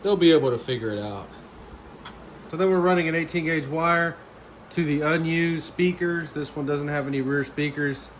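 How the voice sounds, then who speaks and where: A man's voice: on the high side, moderate pace. A man giving a casual, plain-spoken how-to narration as the host of a DIY car audio video, in informal colloquial speech. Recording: clearly noisy background.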